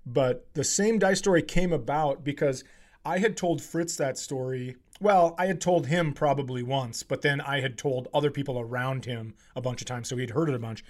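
A male comedian hosting a podcast in casual comic chat, riffing in an animated, profane, self-mocking way. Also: medium pitch, moderate pace.

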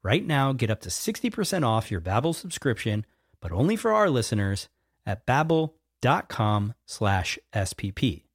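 A man reads a sponsor message with bright, upbeat energy. His pitch swings lively up and down. The sound is dry and close. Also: moderate pace, slightly rough, no echo. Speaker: ad read. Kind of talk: sponsor read.

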